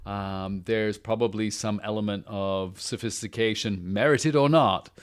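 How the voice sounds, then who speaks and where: A man's voice: lowish voice, steady tone, a little slow, smooth. A man with a British accent, a podcast guest talking in a suave, dry way with frequent hesitations. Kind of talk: casual podcast chat.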